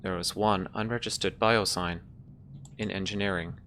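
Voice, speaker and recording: A man voicing an emotionless ship's computer in a tabletop roleplaying game, speaking in measured, impersonal phrases. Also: deep voice, steady tone, a bit bright, a little hiss or hum. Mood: flat, informative. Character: formal, emotionless ship's computer called Mother. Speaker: game master.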